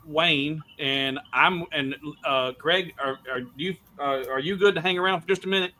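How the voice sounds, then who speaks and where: A man's voice: a little high, moderate pace, bright, forceful tone. A man hosting a casual live stream chat, talking with his guests. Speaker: livestream host.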